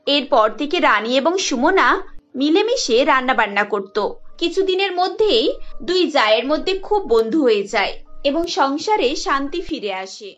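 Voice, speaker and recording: A woman's voice: high-pitched, very animated, a little slow, lo-fi sound, faint music behind. A woman narrating a scripted cartoon story.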